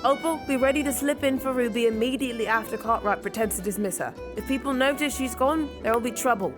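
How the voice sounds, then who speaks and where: A woman's voice: fairly high pitch, quick pace, music in the background, lightly acted. A woman playing a determined young leader of street irregulars, decisive and no-nonsense, in an audio drama. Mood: brisk, commanding. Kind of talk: children's audio drama acting.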